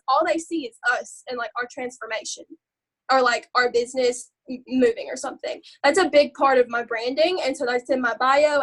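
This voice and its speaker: A woman with a Southern Alabama accent, chatting loudly and rambling casually as she shares tips on a live stream. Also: high-pitched, low-quality recording. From Alabama, USA. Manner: loud, chatty, rambling, laughs often. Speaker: social media seller sharing branding tips.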